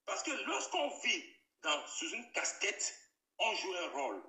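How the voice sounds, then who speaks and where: A bright, sharp-toned man, his high voice swinging widely as he presses each point. He keeps repeating himself and hedging with air quotes. The sound comes from a roomy space, set back from the mic. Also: wildly swooping pitch, measured pace. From Bamenda, Cameroon. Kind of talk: video call discussion. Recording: roomy echo, distant from the mic.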